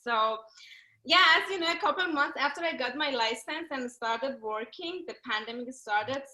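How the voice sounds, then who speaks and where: A woman's voice: a little high, pushed and bright. A woman with a non-native English accent talking effusively and conversationally as a real estate agent on a panel. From a country outside the United States. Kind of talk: enthusiastic conversational panel talk. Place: indoor room on a group video call. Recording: roomy, echoing call audio.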